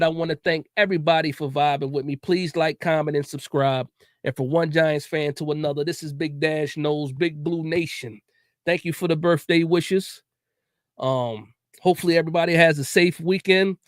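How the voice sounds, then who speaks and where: A man hosts a casual sports talk livestream in a relaxed, chatty way, often repeating himself and dropping "man" into his sentences. His voice sits a bit high. The sound is dry and close, with little room around it. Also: moderate pace, no room sound. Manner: laid-back, repeats words, says "man" often. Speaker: podcast host.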